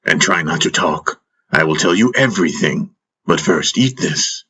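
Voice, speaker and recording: A man voicing a wise, calm old master in an audiobook, speaking in a measured, gently teasing way. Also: sits low, slightly rough, exaggerated voice. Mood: gently firm. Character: wise, calm, wry old male master caring for a patient.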